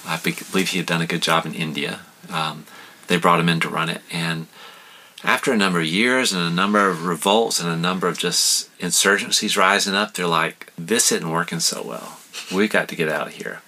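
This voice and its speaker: Low-voiced man. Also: fairly quick. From United States. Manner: relaxed, hesitant restarts, laughs at own jokes. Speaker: podcast guest explaining history. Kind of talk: casual storytelling chat.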